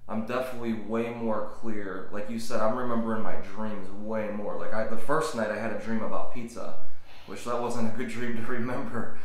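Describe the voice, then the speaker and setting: A man's voice: on the low side, steady tone, fairly quick. A man co-hosting a podcast in casual, rambling chat that corrects itself as he goes. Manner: rambling, self-correcting, loose. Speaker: podcast co-host. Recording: very echoey room.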